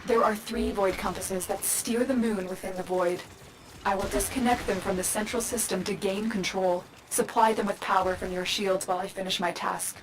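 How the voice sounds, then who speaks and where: An actress voicing a composed, protective mission guide who gives firm instructions. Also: female voice, medium pitch, steady tone, normal speed, noisy background, natural voice. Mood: urgent. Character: calm, commanding female mission guide, protective.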